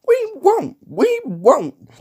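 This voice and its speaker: A man's voice: very high voice, huge pitch swings, measured pace, fairly bright tone, pitched above the performer's own voice, exaggerated voice. A man voicing rowdy, defiant railway trucks in a screaming, taunting storybook reading. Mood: screaming defiance.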